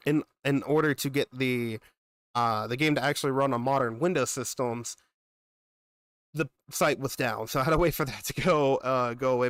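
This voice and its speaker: A young man streaming games talks in a loose, rambling way and greets people in chat by name. He sounds congested from a cold, yet his voice stays smooth and even. The recording is close and dry, with no room sound. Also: medium pitch, no room sound. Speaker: gaming streamer. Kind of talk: casual live-stream chat.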